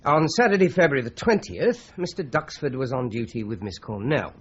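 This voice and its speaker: Methodical British police inspector, muffled recording. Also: male voice, medium pitch, expressive, slightly rough. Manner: measured, businesslike, politely probing. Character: methodical, courteous male police inspector questioning suspects. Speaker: actor in a radio crime drama. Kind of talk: 1980s British radio drama acting. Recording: muffled radio drama recording with hiss.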